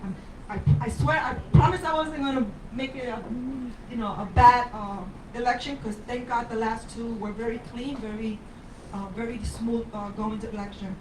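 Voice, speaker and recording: A woman's voice: on the high side, steady tone, moderate pace, far from the mic, background noise. An older woman from Puerto Rico, a candidate for mayor speaking at a forum, rambling and emphatic as she tells personal stories. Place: bar with a live audience. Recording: roomy sound from off the mic.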